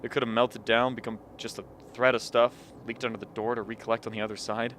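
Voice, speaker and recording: A man's voice: medium pitch, little pitch movement, fast, fairly bright tone, lightly acted. An actor playing a grim, suspicious man at an isolated polar camp in a radio play, terse and wary. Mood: tense, suspicious. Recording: faint background noise.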